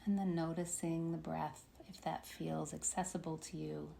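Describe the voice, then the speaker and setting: A woman leading a guided meditation in a soothing, unhurried manner with long pauses. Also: deep voice, little pitch movement, gravelly, warm tone. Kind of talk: guided meditation, body scan. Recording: faint background noise.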